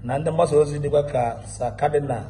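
A man's voice: fairly high pitch, fast talker, dark tone. Igbo interviewer guy, polite and formal, faint noise behind. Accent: Nigerian Igbo. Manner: formal, courteous questioning.

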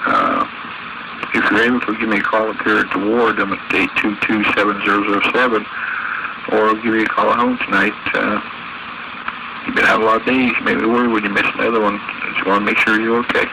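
A man's voice: lowish voice, little pitch movement, fairly quick, gravelly, heavy background noise. A man leaving a casual, hesitant voicemail message on an old answering machine. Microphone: telephone to answering machine.

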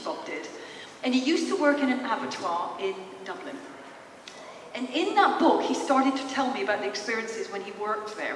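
A woman in her fifties speaks in a fairly high voice, giving an informal talk to an audience. She tells stories conversationally and puts questions to her listeners. The sound is very echoey, picked up from far off in a large, noisy hall. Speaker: speaker, psychologist presenting. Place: large theatre hall, in front of stage. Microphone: handheld mic through PA, captured by distant camera.

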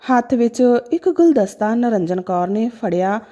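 Female narrator for sentimental moral stories, mellow tone, very lively pitch movement. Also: moderate pace. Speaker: storyteller. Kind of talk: scripted dramatic narration of a sentimental moral story.

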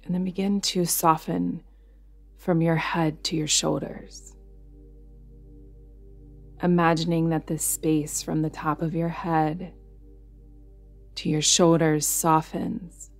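Low, even-pitched woman guiding meditation. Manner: soothing, unhurried instruction with pauses. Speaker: meditation guide. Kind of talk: guided yoga nidra meditation narration. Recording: music behind the voice. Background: music.

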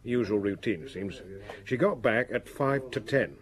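An actor playing a matter-of-fact police officer in a radio drama, giving methodical, factual reports. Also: male voice, medium pitch, normal speed, close to an everyday voice. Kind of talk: British radio drama acting.

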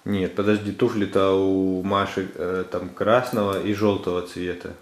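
A man's voice: sits low, flat delivery, moderate pace. A man chatting casually with small children, guiding them with encouragement and short checking questions. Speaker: parent filming and guiding children's craft. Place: living room at home, behind the camera. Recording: echoey room, off-camera.

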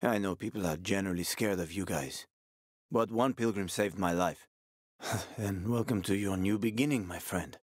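A man's voice: sits low, moderate pace, no room sound, studio quality. A male actor voicing a wry, streetwise survivor guide and ex-soldier in a video game, with colloquial, foul-mouthed talk. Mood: wry, guiding. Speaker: video game companion character.

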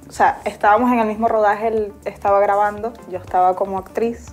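A woman's voice: medium pitch, fairly quick. Chatty Venezuelan woman, faint music behind. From Venezuela, Caracas. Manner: relaxed, chatty, laughs easily. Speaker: guest. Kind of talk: casual interview chat. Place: small indoor studio set on a sofa.

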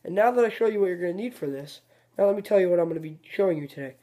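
Mellow-voiced man giving a fishing how-to. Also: high-pitched, racing. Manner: relaxed, rambling, self-correcting explanations. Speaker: fishing video host. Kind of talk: casual how-to demonstration.

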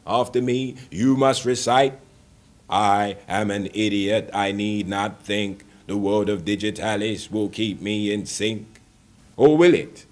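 A man in his twenties performing rhymed spoken word poetry as a poet, with deliberate, dramatic phrasing and stretched words. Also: on the low side, a little mellow. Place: indoor room, seated on a sofa.

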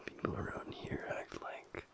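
A man playing a tender, teasing alpha werewolf boyfriend in an intimate audio roleplay, murmuring softly in a breathy, gravelly whisper with pauses. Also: lightly acted. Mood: relaxed, soft. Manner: slow, soft, pausing, murmured.

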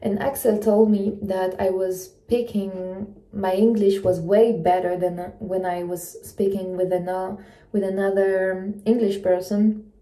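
A woman chats casually to camera, haltingly searching for words in English. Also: medium pitch, steady tone, warm tone. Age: 20s. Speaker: travel vlogger. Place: small indoor room at night. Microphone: phone propped in front of her. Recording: echoey small room.

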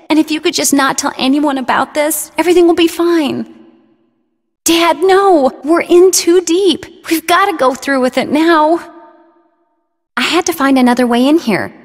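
A woman's voice: high voice, no echo, clean studio sound, close to an everyday voice. A woman playing a young, blunt, stubborn surfer girl in a video game, talking in casual, flippant slang. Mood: pleading, urgent.

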